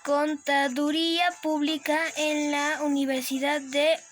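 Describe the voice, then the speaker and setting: A woman reading short translations aloud in an even manner, helping in a language lesson. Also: high-pitched, unhurried, faint music behind. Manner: reads out translations evenly. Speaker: teacher's daughter, translating examples.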